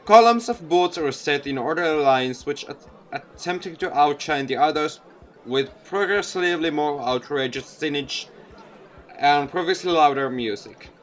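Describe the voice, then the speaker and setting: A man giving an expressive read-aloud narration of a visual novel game as a let's-player. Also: fairly high pitch, normal speed.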